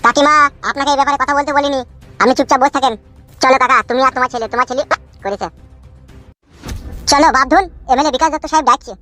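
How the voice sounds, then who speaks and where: A man's voice: extremely high pitch, steady tone, fast, bright, forceful tone, faint music behind. An actor voicing a sly, menacing cartoon party henchman with a rural West Bengal Bengali accent, mock-polite and threatening. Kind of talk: comic cartoon dubbing.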